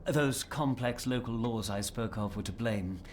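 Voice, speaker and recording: An actor playing a courteous, discreet family lawyer in a video game, speaking formally and precisely. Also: male voice, mid-range voice, a little slow, a little hiss or hum, lightly acted. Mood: formal, measured.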